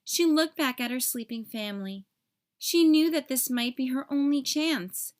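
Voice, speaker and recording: A woman with a fairly high voice narrates a storybook for young children. She reads expressively, in the manner of a read-aloud storyteller. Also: moderate pace.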